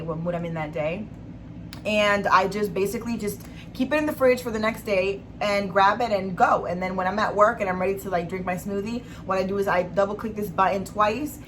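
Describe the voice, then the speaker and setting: A young woman with a raspy, hoarse voice, casually chatting through a favorites vlog with lots of enthusiasm. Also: medium pitch, a bit bright, slight background noise. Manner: chatty, enthusiastic, many fillers. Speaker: beauty vlogger.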